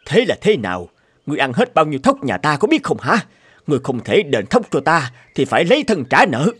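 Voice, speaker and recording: An actor playing an old rice farmer in an animated folk tale, with emphatic, drawn-out delivery. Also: male voice, fairly high pitch, slightly rough, dry recording, exaggerated voice. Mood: stern, gloating. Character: stern old rice farmer who turns remorseful. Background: music behind the voice.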